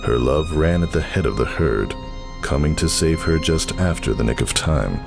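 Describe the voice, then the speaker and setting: A man gives a dramatic, solemn story narration. His voice is extremely deep with a gravelly creak, and it moves theatrically through the scripted prose. Quiet music plays behind him. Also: expressive, moderate pace.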